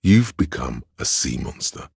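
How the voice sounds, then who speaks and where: A man voicing a snobbish inner voice of artistic taste in a video game, gravelly and husky, with a pompous, deliberate, theatrical delivery. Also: a bass voice, very animated, no echo, clean studio sound, exaggerated voice. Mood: musing, theatrical. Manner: pompous, deliberate, disdainful, florid. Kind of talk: scripted game narration, theatrical.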